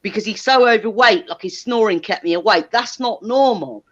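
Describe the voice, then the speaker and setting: Through dull, lo-fi audio comes a woman in her thirties with a mid-pitched voice. She talks casually and candidly. Her manner is blunt and emphatic, with plenty of swearing. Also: moderate pace, fairly bright tone. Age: 30s.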